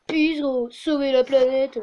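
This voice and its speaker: High-pitched hippie dude played by a woman, laid-back, slangy, comic web sketch, dull lo-fi sound. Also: fairly even intonation, unhurried, dark tone, a little hiss or hum. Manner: slangy, calls people "gros". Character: laid-back, drug-taking male hippie peacenik.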